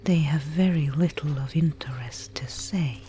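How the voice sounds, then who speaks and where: A woman voicing a mysterious, solemn Spindlemaid guide in a whispered ASMR dark-fantasy roleplay, with breathy, incantatory, archaic speech. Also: low-pitched, faint music behind. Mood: calm, ominous. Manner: slow, incantatory, archaic phrasing.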